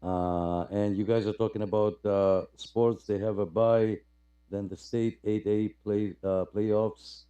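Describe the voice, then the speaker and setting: A man hosting a radio club livestream, chatting casually and haltingly as he greets people and reads out comments. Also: lowish voice, steady tone, warm tone. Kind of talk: casual chat, greeting participants and reading out comments.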